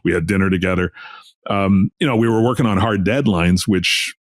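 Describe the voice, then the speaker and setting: Very deep-voiced middle-aged man, rambling casually. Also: moderate pace, no echo. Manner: rambling, repeats "you know". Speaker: interview guest, veteran sports journalist. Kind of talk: casual conversational reminiscing.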